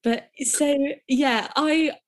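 A woman's voice: high-pitched, fairly even intonation, extremely slow. Young woman chatting on a podcast, gushy and rambly, lots of restarts. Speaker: podcast co-host. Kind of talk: casual chat.

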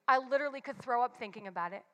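A woman's voice: fairly high pitch, very fast, bright, forceful tone, in a large hall. A woman in her forties giving a candid, confessional devotional talk with emphatic repetitions. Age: 40s. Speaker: church speaker.

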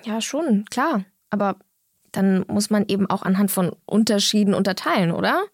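A woman's voice: mid-range voice, expressive, moderate pace, slightly rough, no echo, studio quality. A young woman answering as an interview guest, conversational, opinionated and blunt.

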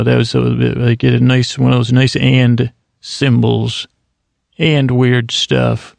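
A man with a heavily gravelly, fry-laden voice rambles through a bedtime monologue. He stammers, breaks off and wanders into digressions, keeping his pitch fairly flat. The delivery is meant to send listeners off to sleep. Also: dry recording. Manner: meandering, halting, stammering, digressive, mumbly self-interruptions. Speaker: podcast host.

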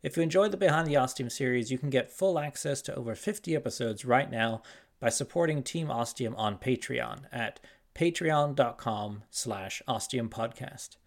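A man's voice: medium pitch, normal speed, vocal fry. A man hosting a podcast, reading a scripted introduction to bonus content. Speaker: podcast host and creator.

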